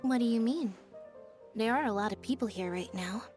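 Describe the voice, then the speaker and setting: A woman playing a calm, reserved young woman in a video game, speaking in a measured, deadpan way. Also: fairly high pitch, faint music behind, lightly acted. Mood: cool, matter-of-fact. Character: calm, reserved young woman, a magician's sister, matter-of-fact. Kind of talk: video game cutscene voice acting.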